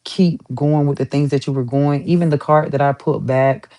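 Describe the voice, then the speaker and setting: A woman's voice: sits low, fairly even intonation, normal speed, mellow. A woman giving a casual tarot reading to her viewers, chatty and emphatic, swearing casually and repeating herself for emphasis. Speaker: tarot reader.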